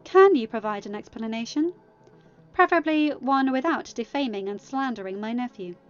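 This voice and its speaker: A woman's voice: on the high side, expressive, moderate pace, clear, resonant tone, dull audio, lightly acted. A woman voicing a composed former queen with a cool, restrained manner in a story reading. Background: music.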